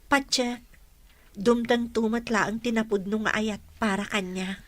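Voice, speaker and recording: A woman's voice: fairly high pitch, steady tone, measured pace, no echo, lightly acted. A woman playing a wronged but resilient young single mother in an Ilocano radio drama, sincere and emotional. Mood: sincere, hurt.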